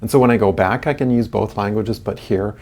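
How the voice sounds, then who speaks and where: A man's voice: on the low side, fairly quick, a little mellow. A man in his sixties who grew up in Germany, a podcast guest telling his story in a reflective, chatty way with frequent restarts and small laughs. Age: 60s. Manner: reflective, chuckling, many restarts. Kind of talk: casual interview chat.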